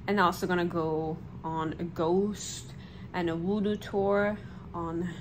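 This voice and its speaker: Slow-talking woman vlogger, noisy recording. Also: lowish voice, little pitch movement. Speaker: travel vlogger. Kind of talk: casual chat to camera. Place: small indoor hotel room. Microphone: phone or camera at arm's length. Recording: noticeable background noise.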